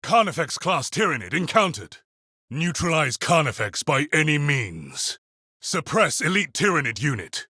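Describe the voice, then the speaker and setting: An actor playing a methodical, unsentimental space marine who speaks in official reports in a video game. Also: male voice, on the high side, sing-song, moderate pace, bright, forceful tone, husky, no room sound, clean studio sound, heavily acted. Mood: stern. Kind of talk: video game combat barks.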